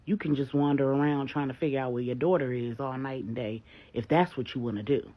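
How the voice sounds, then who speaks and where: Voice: mid-range voice, fairly quick, muffled recording, lightly acted. A storyteller voicing a tough, menacing man who talks in blunt, taunting slang, in a bedtime story for grown-ups. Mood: angry, threatening. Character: LJ, tough, menacing, brash man, sure of himself.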